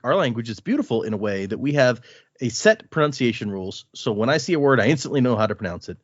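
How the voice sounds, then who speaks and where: A man hosting a podcast, reading a listener's email aloud and adding his own quick asides between lines. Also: medium pitch, dry recording. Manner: reads with lively asides and comments between lines. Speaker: podcast host. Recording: dry, slightly dull lo-fi sound.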